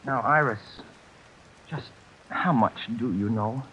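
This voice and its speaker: Prim, polite killer, muffled vintage radio. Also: male voice, medium pitch, slow, a bit bright. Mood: politely menacing. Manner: prim, courteous, hesitant. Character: fussy, polite, soft-mannered murderous male schemer. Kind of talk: old-time radio suspense acting. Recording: dull, hissy vintage radio recording.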